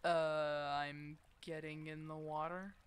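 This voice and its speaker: A woman's voice: low voice, level tone, slow, clean tone. A woman playing a protective, teasing werewolf mentor in an ASMR roleplay, casual and bossy but affectionate. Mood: teasing, coaxing. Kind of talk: ASMR roleplay audio drama addressed to the listener.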